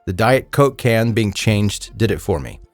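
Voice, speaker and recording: A man's voice: fairly low pitch, expressive, unhurried, dry recording, soft background music. A man hosting a true crime podcast, reading scripted narration with casual asides.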